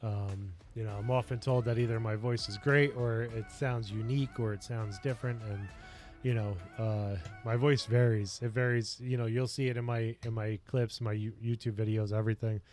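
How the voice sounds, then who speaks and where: A man chatting casually on his home livestream in a basement office, rambling and earnest with lots of fillers, his voice getting a little raspy. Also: on the low side, fairly even intonation, normal speed, a little mellow, faint music behind. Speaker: streamer and lifestyle coach.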